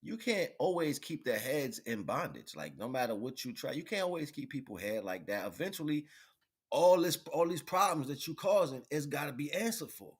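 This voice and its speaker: A man giving a rambling, informal spiritual monologue, preaching in an animated way with repeated phrases and slangy check-ins with his listeners. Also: mid-range voice, moderate pace, husky. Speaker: spirituality vlogger, livestream host.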